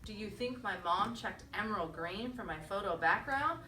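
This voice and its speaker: Woman, bright clear-cut teacher voice, storybook read-aloud, encouraging questions to children, echoey room, distant mic. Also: medium pitch, animated, normal speed, a little hiss or hum. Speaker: teacher reading a picture book aloud to children.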